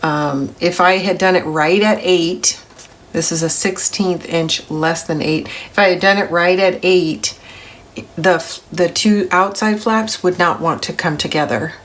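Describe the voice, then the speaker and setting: Chatty woman with a slightly gravelly voice. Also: on the low side, a little slow, a bit bright. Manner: chatty, step-by-step, self-correcting. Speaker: papercraft tutorial host. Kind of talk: crafting tutorial voice-over.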